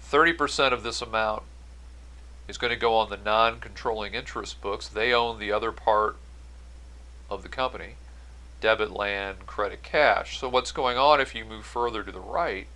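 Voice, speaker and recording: A man's voice: lowish voice, moderate pace, bright, forceful tone, rough recording. A man giving an explanatory accounting-style lecture as an instructor, going step by step and stressing key phrases. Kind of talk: explanatory lecture over on-screen notes.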